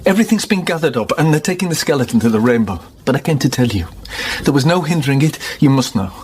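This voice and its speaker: An actor playing a middle-aged gentleman husband weighed down by guilt in a radio drama, speaking gravely and haltingly. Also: on the high side, lively intonation, slight background noise, natural voice. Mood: grim, shocked.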